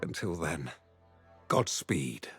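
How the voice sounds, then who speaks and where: A man's voice: a bass voice, big pitch swings, takes it slowly, vocal fry, a bit bright, soft background music, full character voice. A man playing a noble, loyal knight in a fantasy game, speaking formally with earnest, chivalrous courtesy. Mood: earnest, wistful. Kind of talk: fantasy game cutscene acting.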